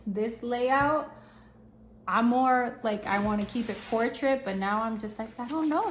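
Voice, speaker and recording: A woman hosting a casual planner review, chatty and upbeat with slangy asides, her voice going a little hoarse. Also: mid-range voice, fairly bright tone, echoey room, slight background noise. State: losing her voice, slightly hoarse. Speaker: channel host reviewing planners. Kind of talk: casual product review walkthrough.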